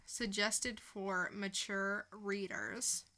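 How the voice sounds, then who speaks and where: Female co-host, bright voice, unhurried and informal, conversational delivery. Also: medium pitch, fairly even intonation. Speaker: podcast co-host. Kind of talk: casual chat.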